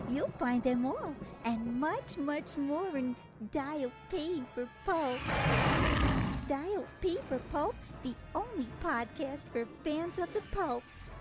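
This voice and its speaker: A woman announcer reading a scripted advertisement for a pulp fiction podcast. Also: high-pitched. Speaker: promo announcer. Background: loud music.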